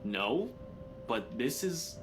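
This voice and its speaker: A man's voice: medium pitch, very animated, very slow, over music, poor audio. A man voicing a sceptical, worried man in a visual novel game, wearily pleading.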